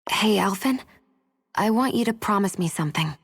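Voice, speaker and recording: A resolute young heroine in a game cutscene. She speaks in a measured, serious way at a middling pitch, with faint music beneath. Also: animated, breathy edge. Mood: solemn, resolute. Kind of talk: video game cutscene acting.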